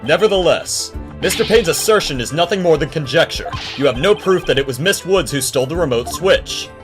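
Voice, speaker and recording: An earnest young defense lawyer, acted by a man, wry and easily exasperated. It is a fan dub of a courtroom game, with long reverb and clear music behind the voice. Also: on the high side, lively intonation, brisk, close to an everyday voice. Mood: assertive. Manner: wry, sardonic. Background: loud game music.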